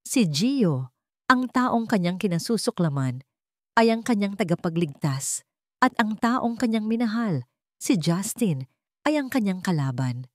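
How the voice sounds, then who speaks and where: A Filipino woman narrating a scripted romantic drama in a measured, melodramatic storytelling manner. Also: medium pitch, sing-song, no room sound, studio quality.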